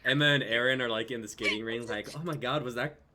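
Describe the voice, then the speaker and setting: A man's voice: medium pitch, fast, a crowd in the background. A man in his twenties chatting casually as he hosts a live-streamed reading group. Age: 20s.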